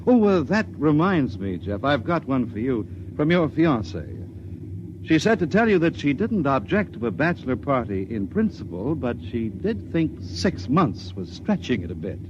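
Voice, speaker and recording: An actor playing a brisk, authoritative space patrol major in a 1950s radio drama. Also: male voice, mid-range voice, sing-song, natural voice. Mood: matter-of-fact. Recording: dull, noisy 1950s radio recording.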